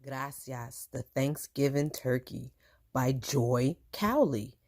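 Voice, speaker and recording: A woman reading a children's story aloud as a storytime teacher, expressive and deliberate. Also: a bass voice.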